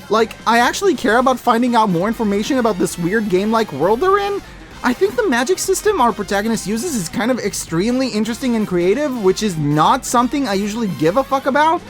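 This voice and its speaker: Man, high-pitched voice, lively intonation, casual review commentary, sarcastic asides, emphatic, music in background. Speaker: video reviewer.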